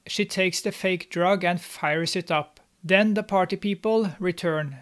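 A man's voice: high-pitched, unhurried, a bit bright. A young man hosting a movie recap, narrating the plot with snarky, mocking commentary. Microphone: studio mic, on camera.

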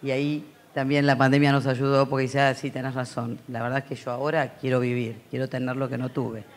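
An Argentine man talks on a conference panel in a reflective, storytelling way. He pauses often with fillers and speaks into a handheld mic in a large hall. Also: mid-range voice, level tone. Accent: Argentine Spanish. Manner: reflective, anecdotal, many fillers.

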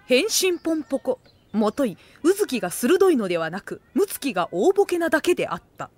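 A woman's voice: high voice, sing-song, a little fast, darkish tone. A woman playing a wry narrator who makes dry asides in a comedic audio drama. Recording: music behind the voice.

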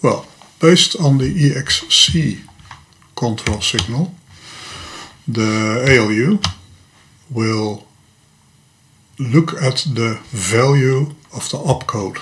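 A man's voice: mid-range voice, lively intonation, slow, long pauses, fairly bright tone. An older man with a Dutch accent, a native Dutch speaker, patiently and methodically explaining a computer topic in a screen-recorded lesson at his desk, now and then tripping over a word. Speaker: hobbyist teacher. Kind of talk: screen-recorded programming tutorial. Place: home workshop room with electronics.

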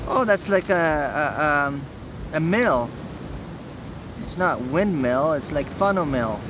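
A man's voice: fairly high pitch, animated, slow pace. A man filming and coaching a small child at play on an outdoor beach, patiently repeating simple instructions from behind a handheld phone camera. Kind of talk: casual talk to a toddler. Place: outdoors on a sandy lakeshore beach. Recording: outdoor wind and wave noise.

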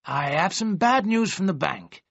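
A man's voice: high voice, animated, unhurried, creaky, a bit bright, slightly rough, no echo, muffled recording, pitched above the performer's own voice, full character voice. A man voicing a stern, disapproving older godfather in a storybook reading. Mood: worried. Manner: firm, disapproving.

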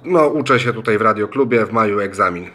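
A man's voice: lowish voice, fast talker, fairly bright tone. A man in a radio club room, talking on an amateur radio contact in a friendly, hesitant way and spelling call signs. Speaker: ham radio student operator. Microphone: phone recording in the room.

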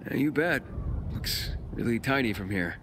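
An actor playing a determined, defiant young survivor in a video game drama. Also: male voice, medium pitch, animated, normal speed, background noise, lightly acted. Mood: uncertain.

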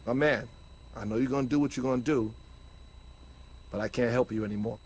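Man playing a husky earnest mentor. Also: medium pitch, a little mellow, natural voice. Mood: grave, advising. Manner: measured, advising.

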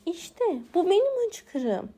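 Woman plays tiny storybook mouse: very high-pitched, excitable, childlike, full of exclamations. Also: takes it slowly, heavily acted. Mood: excited.

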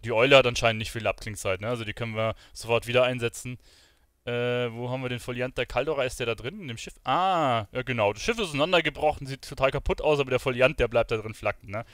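A man's voice: mid-range voice, fast, fairly bright tone, dry recording. Casual German gamer guy, close dry mic. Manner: musing aloud, slangy. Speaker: gaming streamer playing and commenting. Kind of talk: casual let's play commentary.

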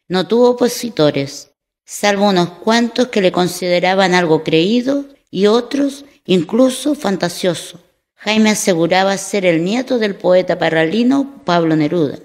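A woman's voice: on the low side, darkish tone. A woman narrating a radio drama from a script, as a storyteller. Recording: light hall reverb with music underneath.

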